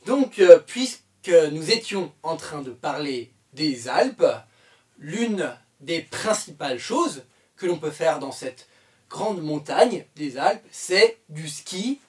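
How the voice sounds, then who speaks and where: A man from France teaches French with a slow, deliberate pace and a voice that rises and falls a lot. He keeps repeating key phrases patiently, dotted with "hein" and "euh", pitched a little high for a man. The voice sits somewhat away from the microphone. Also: very animated, far from the mic. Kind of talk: slow explanatory language lesson.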